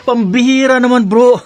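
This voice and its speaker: A man's voice: very high voice, no echo. An actor playing a joking, blunt young male friend in a radio drama.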